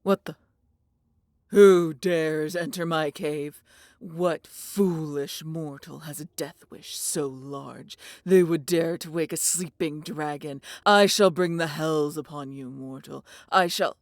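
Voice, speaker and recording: A woman voicing a mighty female dragon, proud yet caring, with breathy, husky tones and archaic, theatrical phrasing in a fantasy audio roleplay. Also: low-pitched, takes it slowly, dry recording, clean studio sound. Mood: furious, threatening.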